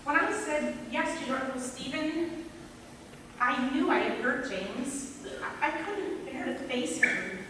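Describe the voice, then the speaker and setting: A woman in an amateur stage play playing a wistful, regretful middle-aged aunt, speaking haltingly and earnestly. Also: sits high. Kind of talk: amateur one-act stage play acting. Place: stage in a hall, indoors. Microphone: distant audience camera. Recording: echoey hall from far off, noisy.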